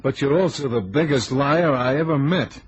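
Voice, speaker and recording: Breezy wisecracking radio detective. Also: male voice, mid-range voice, moderate pace, breathy edge, muffled recording. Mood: wry, teasing. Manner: wry, teasing, slangy. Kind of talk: old-time radio detective drama acting. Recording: vintage radio recording.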